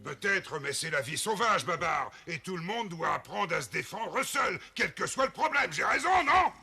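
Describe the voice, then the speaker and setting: An actor voicing a blustering, boastful rhinoceros captain in a French cartoon dub, bombastic and cocksure. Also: male voice, high-pitched, big pitch swings, moderate pace, vocal fry, music in the background, full character voice. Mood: smug. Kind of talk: French-dubbed cartoon acting.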